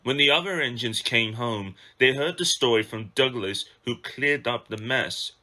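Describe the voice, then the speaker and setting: A man's voice: mid-range voice, normal speed, bright, forceful tone. A man narrating a children's story from a script as an amateur reader.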